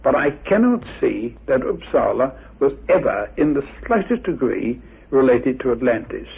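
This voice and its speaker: A man speaking as an interviewed expert in a courteous, formal, scholarly way, politely firm in his judgements, with a gravelly, husky voice. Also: medium pitch, very animated, normal speed. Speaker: interviewed expert on Atlantis theories. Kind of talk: radio interview, measured scholarly exposition. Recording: muffled, band-limited radio recording.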